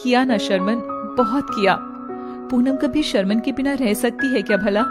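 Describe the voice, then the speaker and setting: A woman's voice: on the high side, normal speed, close to an everyday voice. A woman voicing a clingy young city woman who coaxes and pleads in a story. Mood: sweetly coaxing. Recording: music playing behind the voice.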